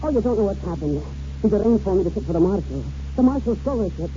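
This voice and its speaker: An actor playing an earnest, excitable young working lad in 1940s radio drama. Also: male voice, high-pitched, fast talker, slight background noise. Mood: breathless, excited. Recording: muffled 1940s radio recording, faint music.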